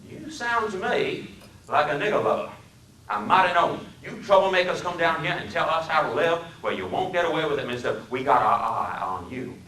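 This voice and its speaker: A man voicing a hateful young hitchhiker with a Deep South drawl, boastful and quick to shout, in a dramatic reading. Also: big pitch swings, roomy sound, far from the mic, rough recording. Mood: furious. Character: hateful young Southern male hitchhiker, bigoted and hot-tempered.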